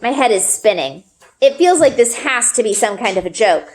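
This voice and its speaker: A woman's voice: a little high, big pitch swings, normal speed, darkish tone. A woman reading a story aloud expressively, as a teacher would, acting out the dialogue.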